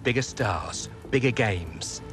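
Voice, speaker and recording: An actor playing a persuasive football agent in a video game cutscene, pushing a big transfer. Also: male voice, on the low side, animated, takes it slowly. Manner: persuasive, salesy, building hype. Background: music.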